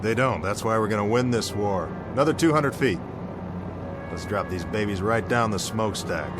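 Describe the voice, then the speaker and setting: An actor playing a confident, dryly humorous American bomber captain in a wartime radio drama. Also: male voice, lowish voice, normal speed, over music, natural voice. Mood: focused command.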